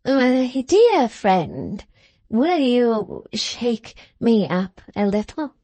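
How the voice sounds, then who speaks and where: A woman voicing an irritable, wheedling old man in a classic novel, with a breathless, drawn-out delivery. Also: a little high, big pitch swings, mellow, no room sound, exaggerated voice. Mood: breathless, pleading.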